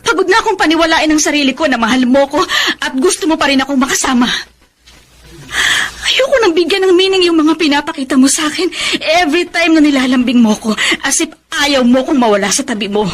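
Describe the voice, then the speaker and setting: A woman with a Filipino accent playing a weary, long-suffering middle-aged wife in a radio drama, bitter and emotional, drawing out her words. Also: high-pitched. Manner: tearful, drawn-out emotional delivery. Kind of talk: Tagalog radio drama acting with English mixed in.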